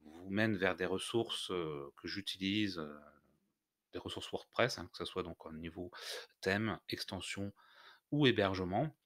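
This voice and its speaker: A man narrating an unscripted software tutorial, explaining step by step in a hesitant way. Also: low voice, a little slow, no echo, clean studio sound. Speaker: tutorial host.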